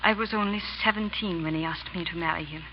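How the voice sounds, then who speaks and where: An actress playing a proud, impassioned young wife of an English lord in 1930s radio drama, pleading and declaiming. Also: female voice, fairly low pitch, fast, close to an everyday voice. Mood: pleading, wounded. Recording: dull, hissy, crackling 1930s radio recording.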